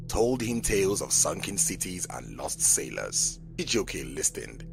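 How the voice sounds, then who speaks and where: A male storyteller with a very deep, gravelly voice narrates a folk tale. His delivery swings expressively up and down as he reads the scripted story. Music plays behind him. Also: rough recording. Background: background music.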